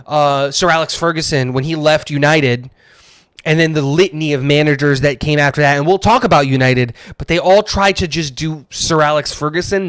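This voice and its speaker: A man co-hosting a casual sports podcast, talking in animated, opinionated bursts with plenty of fillers. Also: on the high side, smooth, no room sound. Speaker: podcast co-host.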